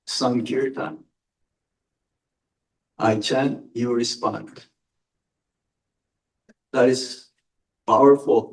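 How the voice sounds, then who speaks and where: A man, a monk, answering audience questions in a spiritual lecture, speaking deliberately and with emphasis. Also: medium pitch, recorded from a distance, poor audio. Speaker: monk giving a spiritual talk.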